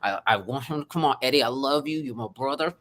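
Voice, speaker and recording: Effusive, animated man talking. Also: medium pitch, quick pace. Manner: effusive, animated, swings loud and soft. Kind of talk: energetic interview conversation.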